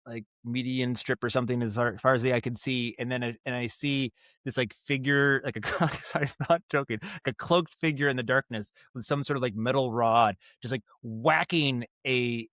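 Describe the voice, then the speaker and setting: A man speaking as a guest in a casual interview, telling stories in an animated, halting way with asides and vocal sound imitations. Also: mid-range voice, dry recording, studio quality. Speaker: guest, audio drama writer and director. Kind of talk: casual podcast interview, storytelling.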